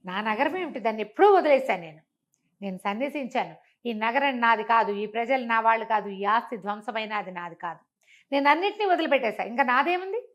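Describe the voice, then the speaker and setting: A woman's voice: a little high, lively intonation, a little fast, close to an everyday voice. A woman voicing a resolute renunciate king. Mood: detached, firm. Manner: firm, commanding. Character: resolute, detached king turned renunciate monk.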